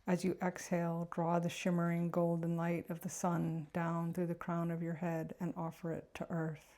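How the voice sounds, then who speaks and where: A woman narrating a guided meditation in a gentle, unhurried, reflective way. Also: on the low side, monotone.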